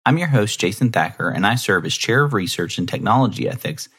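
A man's voice: lowish voice, brisk, creaky, breathy edge. A man hosting a podcast, reading a polished intro and then interviewing a guest. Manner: polished, steady delivery. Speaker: podcast host.

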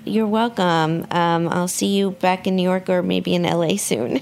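Lower-pitched woman hosting a podcast interview. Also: steady tone, measured pace, gravelly, a little mellow, a little hiss or hum. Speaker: podcast host.

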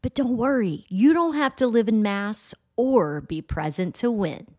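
A woman's voice: fairly high pitch, lively intonation, unhurried, darkish tone, no room sound, studio quality. A woman announcer reading a scripted promotional spot. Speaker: advertisement announcer.

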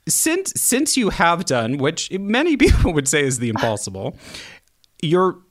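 A man's voice: a little high, wildly swooping pitch, measured pace. An American man hosting a podcast, asking friendly, rambling questions and chuckling along with his guest. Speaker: podcast host. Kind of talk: interview questions, casual chat.

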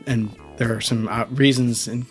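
Brief: male podcast co-host, mid-pitched, chatty and thoughtful, soft music underneath. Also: fairly even intonation, moderate pace. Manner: conversational, reflective, filler-heavy. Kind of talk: casual podcast chat.

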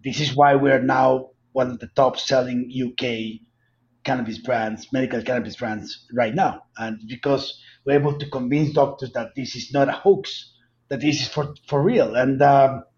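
A man's voice: mid-range voice, steady tone, moderate pace, a little mellow, highs cut off. A man from Colombia with a Colombian Spanish accent, answering interview questions earnestly and emphatically, often repeating words. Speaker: guest, cannabis company founder.

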